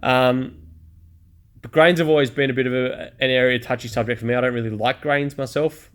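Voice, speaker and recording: A man's voice: medium pitch, steady tone, fairly quick, clean tone. A man, talking bluntly and casually about his own experience in a personal vlog. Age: 30s. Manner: blunt, chatty, self-deprecating. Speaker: vlogger. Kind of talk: candid personal vlog monologue.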